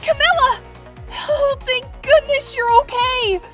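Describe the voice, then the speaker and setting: An urgent, commanding heroine from a video game, played by a woman. Her voice is very high and bright, over faint music. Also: steady tone, takes it slowly, full character voice. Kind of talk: game fandub acting.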